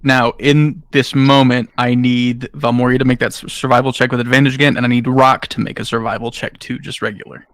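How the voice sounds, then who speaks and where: A man's voice: mid-range voice, little pitch movement, brisk, hardly any pauses, a bit bright, dry recording. A man improvising narration as game master in a tabletop role-playing game, speaking directly to his players.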